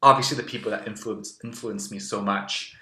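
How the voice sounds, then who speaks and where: A young man from Taiwan, a guest musing thoughtfully through casual answers with soft fillers. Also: fairly low pitch, fairly even intonation, fairly quick. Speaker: interview guest. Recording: roomy echo.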